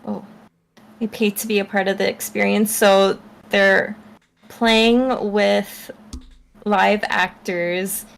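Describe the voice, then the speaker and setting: A woman co-hosting a casual book chat, summing up unscripted in a halting, hedging way. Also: medium pitch, vocal fry. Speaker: book reviewer co-host.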